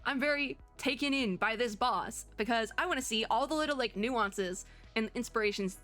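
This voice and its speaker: A woman with a bright voice chats casually as a game streamer. She reacts in an excitable, expressive way and rambles through her thoughts. Faint music plays behind her. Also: on the high side, normal speed. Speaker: streamer, VTuber.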